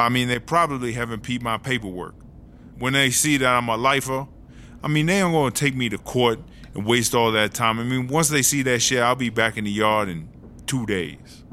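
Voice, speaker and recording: A man's voice: mid-range voice, bright, forceful tone, a little hiss or hum, natural voice. A man playing a brash, streetwise woman prisoner in a comedic audio drama, rambling indignantly in slang. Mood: indignant, boastful. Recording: hip hop music behind the voice.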